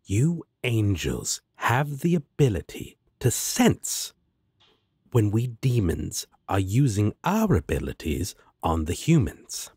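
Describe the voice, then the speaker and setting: A man's voice: fairly low pitch, wildly swooping pitch, slow pace, a little mellow, husky, no echo, studio quality, exaggerated voice. A man voicing a sardonic, exasperated but protective demon roommate in a fantasy audio roleplay, blunt and sarcastic. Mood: challenging.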